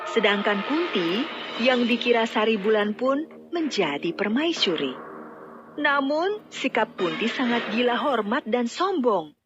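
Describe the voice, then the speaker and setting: A woman's voice: on the high side, lively intonation, unhurried, noisy background. A woman narrating a scripted fairy tale as a storyteller. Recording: dull lo-fi audio, music bed. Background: background music.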